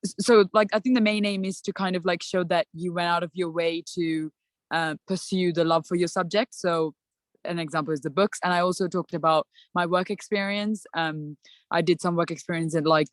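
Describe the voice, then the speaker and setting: A woman's voice: fairly low pitch, steady tone, fairly quick, no room sound. A young woman from Sri Lanka, a student panelist, giving casual advice in a chatty way over a video call, full of "like" and "um". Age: young adult. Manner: chatty, many fillers and "like".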